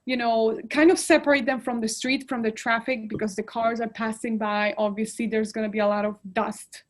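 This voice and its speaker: A woman in a relaxed chat. Her voice sits a bit high, and she piles up examples with lots of "like" as she talks. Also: brisk. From Poland. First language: Polish. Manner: expressive, lists details, frequent "like" fillers. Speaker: guest. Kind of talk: casual chat.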